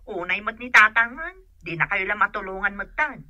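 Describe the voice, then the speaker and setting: A woman's voice: medium pitch, animated, normal speed, pushed and bright, lo-fi sound. A woman playing a young, worried and exasperated daughter in a comedy radio drama, with an Ilocano accent.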